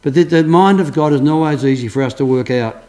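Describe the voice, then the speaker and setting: A man's voice: on the high side, fairly quick, warm tone. An older man preaching a sermon as pastor, telling stories earnestly with frequent restarts. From Australia. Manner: earnest storytelling, many restarts.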